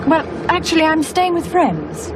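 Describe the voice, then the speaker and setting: A poised schemer in a radio drama. Her high voice is measured and coolly ironic with lively melody, over a noisy rumble. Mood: polite, demure. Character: poised, scheming woman with a secret revenge plan. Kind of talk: radio horror drama acting.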